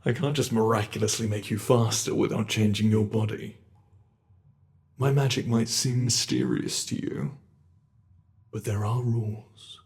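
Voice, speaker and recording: A British man voicing a sadistic immortal male monster under the bed, speaking slowly in a taunting, gleeful way in an ASMR horror roleplay. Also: lowish voice, steady tone, a little mellow. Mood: menacing taunting. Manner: slow, taunting, gleeful cruelty with bursts of laughter.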